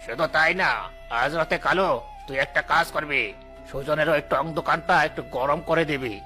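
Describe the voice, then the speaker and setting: A man's voice: on the high side, steady tone, moderate pace, bright, forceful tone, soft background music. A man playing a jealous, scheming village moneylender in a cartoon, with a menacing, boastful, commanding manner. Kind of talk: animated cartoon dialogue.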